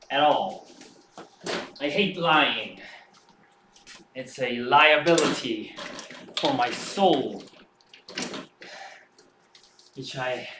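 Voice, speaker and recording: A man who is not a native English speaker, rambling and thinking aloud after a home workout, with many halting restarts. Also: mid-range voice. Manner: rambling, halting, self-reflective. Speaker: fitness streamer. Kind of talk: casual musing after a workout. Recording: roomy echo, distant mic, faint background hum.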